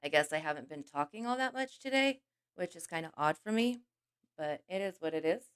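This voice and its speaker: A woman hosting a livestream with a scratchy, hoarse voice from a cold, chatting casually and greeting each viewer by name. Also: lowish voice, animated, brisk. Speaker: livestream host.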